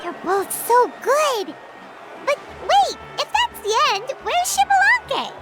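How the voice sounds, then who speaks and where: A woman voicing a tiny floating female companion in a video game, shrill and theatrical with big exclamations, over loud game music. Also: very animated, slow pace, exaggerated voice. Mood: dramatic.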